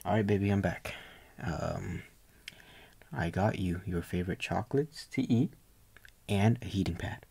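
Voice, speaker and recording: A young man playing a tender, doting boyfriend in a soft ASMR roleplay, gentle, coaxing and reassuring, with a breathy voice often near a whisper. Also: low voice, unhurried, long pauses, exaggerated voice. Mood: tender, soothing.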